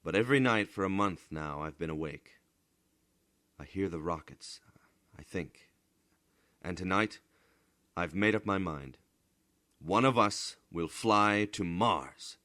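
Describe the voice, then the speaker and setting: A man's voice: sits low, slow, long pauses, bright, forceful tone, no room sound, exaggerated voice. A poor junkyard man and anxious father acted by a male storyteller, full of longing. He speaks earnestly and dramatically in a dry, intimate storybook recording. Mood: yearning.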